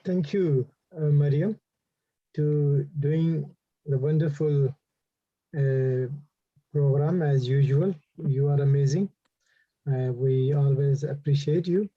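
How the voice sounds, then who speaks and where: A man speaking slowly and formally as a guest speaker over a video call. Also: fairly high pitch, steady tone, dry recording. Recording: dull lo-fi call audio.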